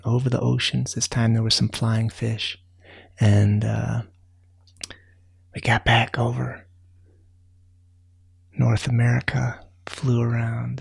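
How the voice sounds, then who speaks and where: A man's voice: medium pitch, vocal fry, a little mellow, breathy edge, full character voice. A man as a rambling friendly raccoon. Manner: rambling, folksy, lots of fillers. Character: friendly chatty male raccoon, easygoing storyteller. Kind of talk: bedtime storytelling.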